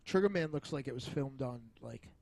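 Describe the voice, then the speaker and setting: A man chatting casually as a podcast film reviewer, feeling his way through his points in halting phrases. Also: medium pitch, lively intonation, gravelly, darkish tone, dry recording. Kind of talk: casual chat.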